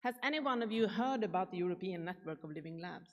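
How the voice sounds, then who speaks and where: A woman's voice: fairly low pitch, lively intonation, fast, highs cut off. A woman from Sweden with a Swedish accent, giving a chatty conference keynote and asking the audience questions. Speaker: keynote speaker. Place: large conference hall. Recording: reverberant hall.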